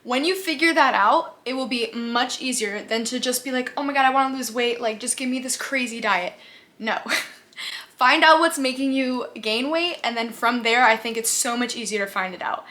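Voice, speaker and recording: A woman's voice: on the high side, moderate pace, pushed and bright. A woman in her twenties from the United States, chatting casually to her camera in a question-and-answer vlog, upbeat, laughing easily and often saying "like". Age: 20s. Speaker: vlogger. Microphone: camera mic, talking to camera.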